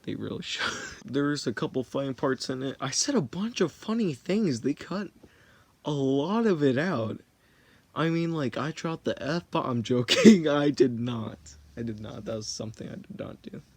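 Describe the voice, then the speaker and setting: A man's voice: medium pitch, lively intonation, moderate pace, breathy edge. Rambling teenage guy telling a story. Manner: rambling, self-correcting, jokey. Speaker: vlogger.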